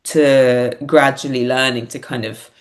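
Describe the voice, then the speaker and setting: A woman's voice: a bass voice, steady tone, unhurried. A woman talking reflectively as an interview guest, hesitant, thoughtful and self-effacing. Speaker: podcast guest, playwright and performer.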